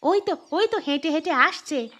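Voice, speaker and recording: A woman voicing a chatty, kind village housewife in a Bengali cartoon, with expressive, homely delivery. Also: sits high, moderate pace, slight background noise. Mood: chatty, friendly. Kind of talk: Bengali cartoon voice acting, dialogue. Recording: music bed behind the voice.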